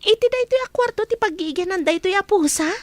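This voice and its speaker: A woman's voice: extremely high pitch, animated, quick pace, a little mellow, dry recording. A woman playing a humble, polite young housemaid who is an orphan, in a radio drama. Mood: wondering. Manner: polite, deferential. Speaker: new housemaid.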